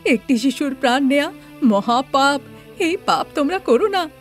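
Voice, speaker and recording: A woman's voice: high-pitched, expressive, moderate pace, faint music behind. A woman voicing an anxious, pious male village priest who gives urgent, earnest warnings in a cartoon.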